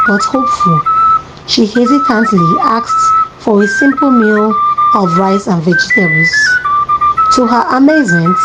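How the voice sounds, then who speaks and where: A woman's voice: a little high, low-quality recording. A woman reading a scripted folktale for children as a storyteller.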